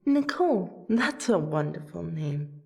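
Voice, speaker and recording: A woman playing a courteous royal vampire and loyal friend in a fantasy audio roleplay, with a polite, theatrical, deliberate delivery. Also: lowish voice, sing-song, vocal fry, darkish tone, soft background music, exaggerated voice. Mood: pleading, awed.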